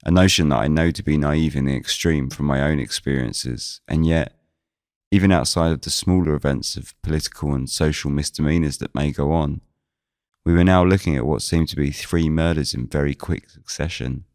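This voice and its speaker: A man reading scripted first-person mystery narration in measured, formal phrasing. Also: very deep voice, a little mellow, no echo, clean studio sound. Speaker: narrator and lead of an audio drama.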